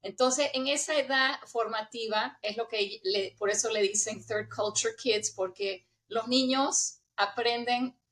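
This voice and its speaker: A woman's voice: on the high side, a little slow, hardly any pauses, fairly bright tone, low-quality recording. A middle-aged woman from the United States with a hybrid Spanish accent often taken for Argentine, talking in an interview, chatty and laughing easily. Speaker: guest, intercultural trainer. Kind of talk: conversational interview.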